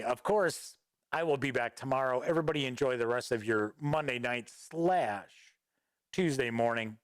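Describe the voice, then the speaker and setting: A man's voice: mid-range voice, a bit bright, clear, resonant tone, dry recording. A man hosting a gaming news livestream, rambling casually as he reads viewer chat aloud and answers it, close on a desk microphone. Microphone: studio microphone at a desk.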